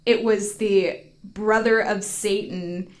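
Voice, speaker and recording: A woman's voice: mid-range voice, fairly even intonation, slow, heavy background noise. An American woman telling a story casually on a podcast, informal and giggly, peppering her talk with "like". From United States.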